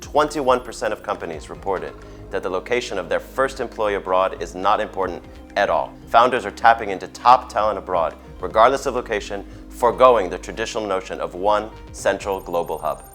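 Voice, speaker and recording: Man, very low voice, steady informative read, soft music under. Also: brisk. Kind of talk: scripted insight delivery.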